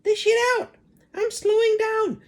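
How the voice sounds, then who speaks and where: A reader voicing a wild old grandmother with a screechy voice and drawn-out shrieking cries. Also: extremely high pitch, little pitch movement, pitched above the performer's own voice. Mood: gleeful, shrieking.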